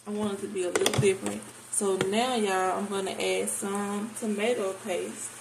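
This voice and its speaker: A woman's voice: medium pitch, flat delivery, normal speed, creaky. An American woman chatting casually and candidly to viewers as she cooks at home, in an informal way. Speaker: home cooking vlogger. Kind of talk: casual chat while cooking. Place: home kitchen, indoors. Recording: roomy kitchen, noticeable background noise.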